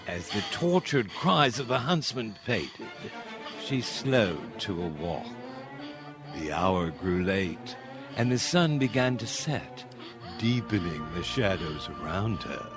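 A man narrating a dark fairy tale as a theatrical, scripted radio-play storyteller. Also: lowish voice, very animated, slow pace. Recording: prominent music under the voice.

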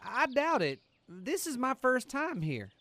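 A woman's voice: high-pitched, sing-song, unhurried, a bit bright, exaggerated voice. A woman playing a meek, clumsy young male duckling in an audio drama, timid and apologetic. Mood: downcast, shy.

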